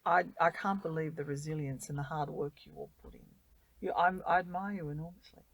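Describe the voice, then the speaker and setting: Middle-aged aussie woman, low voice, earnest, stumbles over words a bit, dry close mic. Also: brisk, no room sound, low-quality recording. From Australia. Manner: earnest and heartfelt, repeats words as she thinks. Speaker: guest volunteer. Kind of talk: casual interview.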